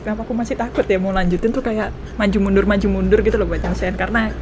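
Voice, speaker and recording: A young woman with an Indonesian accent giving casual, expressive and emotional gameplay commentary, mixing languages. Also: medium pitch, racing, noisy background. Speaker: game streamer. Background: game music.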